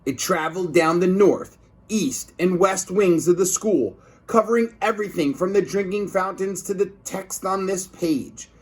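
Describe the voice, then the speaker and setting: A man's voice: high-pitched, measured pace, slightly rough. A man reading a children's book aloud as a teacher, expressively emphasizing words for young listeners.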